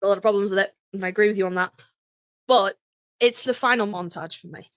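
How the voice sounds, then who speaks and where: A woman co-hosting a podcast, chatting casually as a fan in an animated, emphatic, joking way with plenty of swearing. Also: medium pitch, quick pace, dry recording. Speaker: podcast co-host. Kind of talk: casual fan chat about a TV series.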